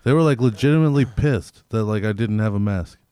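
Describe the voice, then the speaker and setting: A man's voice: on the low side, brisk, mellow, clear, resonant tone. A man chatting casually as a podcast co-host, with slangy, profane, rambling talk that piles up hypothetical examples. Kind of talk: casual chat, profane banter.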